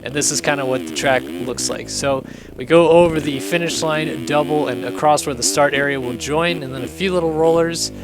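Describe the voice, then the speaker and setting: A young man hosting a casual video walkthrough, his voice hoarse and raspy from having lost it, chatty and upbeat. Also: fairly high pitch, fairly even intonation, moderate pace, over music, low-quality recording. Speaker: host. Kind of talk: casual game track walkthrough commentary.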